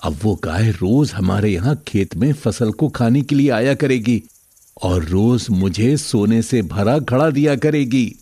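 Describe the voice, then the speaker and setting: A man plays a kind, honest village farmer in a cartoon moral tale. His voice is mid-pitched and mellow, recorded close and dry. He speaks plainly and earnestly. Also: lively intonation, measured pace, breathy edge, no echo. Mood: confiding. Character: kind, honest poor farmer grown rich. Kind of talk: animated moral story dialogue in Hindi.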